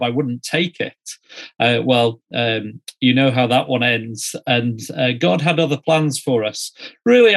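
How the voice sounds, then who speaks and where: A middle-aged man from Yorkshire, speaking as an interview guest in a conversational storytelling way with measured, hesitant phrasing. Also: mid-range voice, a bit bright. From Yorkshire, northern England. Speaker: interview guest, student ministry director.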